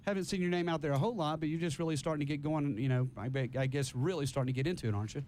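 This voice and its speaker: A man with a slightly high voice hosts a live radio interview in a studio. He is chatty and casual, circling back over his points in a rambling way. A faint rumble of noise sits behind him. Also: very fast, darkish tone. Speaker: radio host interviewing a band.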